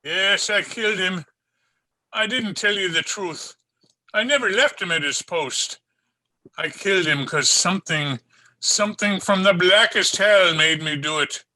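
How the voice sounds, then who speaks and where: A man's voice: sits high, measured pace, sharp tone, exaggerated voice, low-quality recording. A man playing an anguished, panicked police sergeant uncle in an old time radio drama, frantic and pleading, repeating himself, recorded over a video call. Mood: tormented confession.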